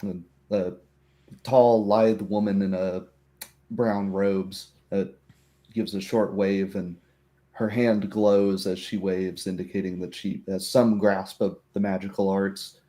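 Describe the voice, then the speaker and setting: A man narrating a tabletop roleplaying game as game master, describing scenes in halting phrases. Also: sits low, flat delivery, dark tone.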